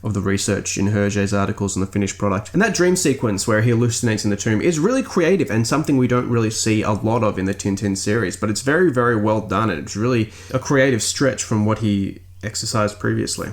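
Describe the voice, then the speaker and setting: A man's voice: fairly low pitch, animated, quick pace, hardly any pauses. A man hosting a podcast, giving a conversational book review, sometimes circling back on his phrases. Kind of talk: conversational review, reading out listener comments.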